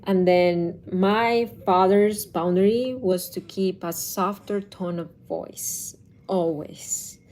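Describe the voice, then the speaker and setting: A woman's voice: fairly low pitch, a little mellow. A woman, likely in her thirties, talking to camera as a parenting coach in a thoughtful, casual way with restarts. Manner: slow, thoughtful, with restarts and self-corrections.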